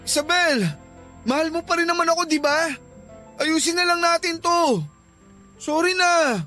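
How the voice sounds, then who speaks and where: A man's voice: very high voice, measured pace, sharp tone, full character voice. A man playing a jealous, clingy and desperate boyfriend in a radio drama, insistent in manner. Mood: pleading. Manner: insistent, pleading. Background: music.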